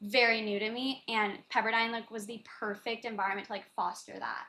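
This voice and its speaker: A woman's voice: on the high side, fairly even intonation, fairly quick, pushed and bright. A young adult woman telling her life story as a podcast guest, in a chatty way, with lots of "like" fillers. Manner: chatty, heavy use of "like" as filler. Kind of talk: casual conversational storytelling.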